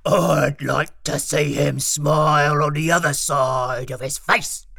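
A woman voicing a sulky, grudge-holding male crocodile with a slow growling drawl. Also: low-pitched, fairly even intonation, vocal fry, a bit bright, a little hiss or hum, pitched below the performer's own voice, full character voice. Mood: resentful.

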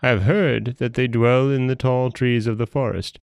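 A man's voice: medium pitch, fairly even intonation, normal speed, gravelly, clear, resonant tone, no room sound, studio quality, pitched above the performer's own voice, lightly acted. A man voicing a commanding, hot-tempered dragon king in a storybook tale, with an imperious manner. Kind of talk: storybook character voice.

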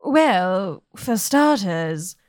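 Female tabletop player, lively swooping intonation, dry close sound, bossy and wordy in character. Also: medium pitch, very slow, no echo. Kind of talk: in-character play and casual table talk.

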